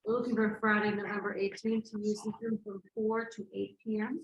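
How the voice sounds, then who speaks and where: Dull recording, woman, flat monotone, informal meeting request. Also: medium pitch, a little fast. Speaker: meeting participant making a room request. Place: meeting room. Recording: dull, muffled, quiet room pickup.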